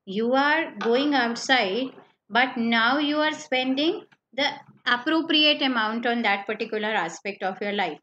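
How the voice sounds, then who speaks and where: Woman teacher, higher voice, patient and repetitive lecturing, lo-fi online class mic. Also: a little slow, pushed and bright. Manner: explains step by step, repeats key points, asks "right?". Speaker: accountancy teacher. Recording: slightly dull lo-fi online class audio with faint noise.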